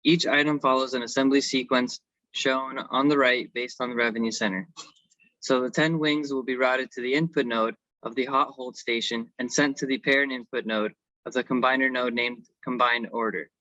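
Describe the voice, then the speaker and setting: A man's voice: mid-range voice, steady tone, moderate pace, pushed and bright, no echo. A man giving a semi-scripted technical presentation as an engineering consultant, on a slightly dull recording.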